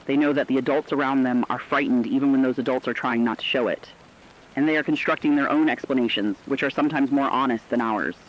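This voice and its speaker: A man playing a reflective, compassionate radio correspondent, delivering a scripted essay in an earnest, deliberate way. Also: medium pitch, lo-fi sound, natural voice. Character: reflective, compassionate male radio correspondent during a global crisis. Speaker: radio commentator.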